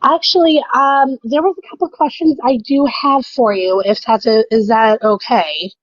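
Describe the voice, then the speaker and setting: A woman's voice: on the high side, moderate pace, no room sound, muffled recording. A woman in her thirties calling in to a livestream, earnest and hesitant, with many ums. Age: 30s. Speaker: caller. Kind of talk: casual call-in chat.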